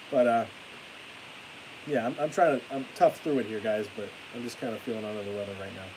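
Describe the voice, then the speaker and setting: A man's voice: mid-range voice, low-quality recording. Stuffy-nosed young man ranting on stream. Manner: rambling, excitable rants that trail off into tired mumbling. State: stuffy-nosed, losing his voice. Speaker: live streamer. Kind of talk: casual live stream chat. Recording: hissy home stream mic.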